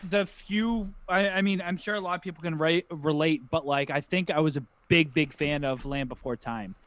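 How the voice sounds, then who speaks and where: A man's voice: on the high side, moderate pace, a bit bright, no room sound. A man in his thirties co-hosting a podcast, chatting casually with slang and joking asides. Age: 30s. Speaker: podcast co-host. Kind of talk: casual chat.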